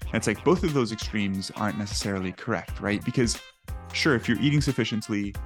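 A man's voice: fairly low pitch, quick pace, soft background music. A man hosting a podcast in casual chat, upbeat and often tagging "right?" onto his points. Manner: upbeat, peppers talk with "right?". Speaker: podcast host.

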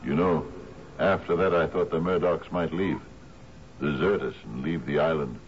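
An actor playing a troubled older sea captain in a 1970s radio drama, with a hesitant, stammering delivery. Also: male voice, extremely low pitch, normal speed. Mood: somber, wistful. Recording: muffled, noisy 1970s radio broadcast.

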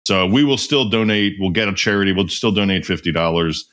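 A man hosting a podcast, asking questions and running a quick quiz game in a loose, repetitive way. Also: low-pitched, fairly bright tone. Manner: loose, repeats himself, many fillers. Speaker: podcast host.

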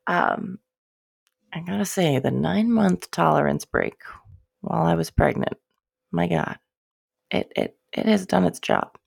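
Young American woman, stuffy and nasal from a cold, heavy vocal fry, loose rambling podcast host. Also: fairly low pitch, very animated, measured pace, pauses often, darkish tone. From United States. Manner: rambling, chatty, swears casually, many fillers. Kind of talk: casual solo podcast monologue.

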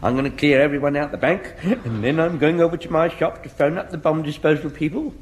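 High-voiced, muddled old English butcher played by a man. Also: brisk, lightly acted. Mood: self-important. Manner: rambling, chirpy, flustered. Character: excitable, muddled old male butcher and platoon corporal. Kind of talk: vintage British radio sitcom acting. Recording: noisy vintage radio recording.

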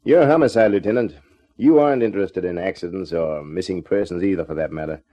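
An actor playing a wry, tough private eye who also narrates, in clipped 1950s radio detective style. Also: male voice, deep voice, fast, mellow. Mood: probing, insistent.

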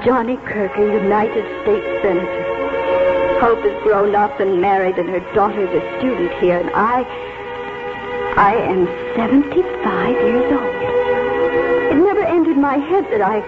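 An actress playing a weary, sentimental seventy-five-year-old schoolteacher in 1940s radio drama style. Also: female voice, mid-range voice, animated, measured pace, close to an everyday voice. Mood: wistful, tearful. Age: older. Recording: muffled old radio broadcast, music behind.

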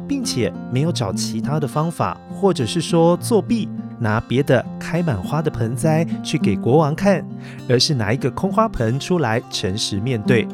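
A man hosting and narrating a children's story, addressing young listeners in a coaxing, explanatory way. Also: mid-range voice. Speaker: children's story podcast host.

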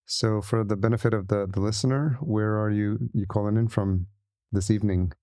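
A man's voice: fairly low pitch, steady tone, normal speed, warm tone, dry recording, clean studio sound. A man hosting a podcast, speaking in a conversational interview style. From El Salvador heritage, lives in the US. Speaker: podcast host. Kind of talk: casual interview chat and sponsor read.

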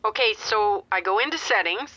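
An earnest older woman, an anxious mother on the phone, in a naturalistic audio drama. Her voice is bright and lively in pitch, and she comes across as slightly flustered. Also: normal speed, no room sound, dull audio.

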